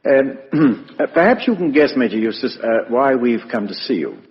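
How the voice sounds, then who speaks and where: Man acting a brisk British police inspector, muffled vintage radio. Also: medium pitch, animated, long reverb tail, natural voice. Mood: politely probing. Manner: businesslike, colloquial, politely probing. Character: brisk, genial but probing male police chief inspector. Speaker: radio actor.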